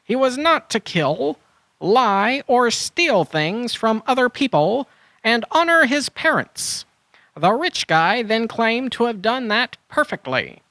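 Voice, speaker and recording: A performer playing an eager animal adventurer in a children's audio drama, earnest and expressive. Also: mid-range voice, takes it slowly, no echo, full character voice.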